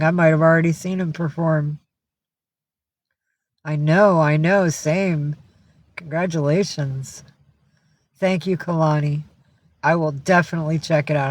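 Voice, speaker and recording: A woman hosting a casual livestream from home, talking in a rambling, blunt and sweary way. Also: low-pitched. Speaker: livestream host. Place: indoors at home with cats.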